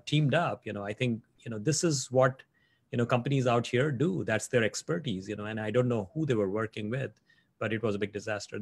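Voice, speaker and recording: A man's voice: mid-range voice, no echo. A man in his forties hosting a video call interview, earnest, with frequent "you know". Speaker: host. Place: home office.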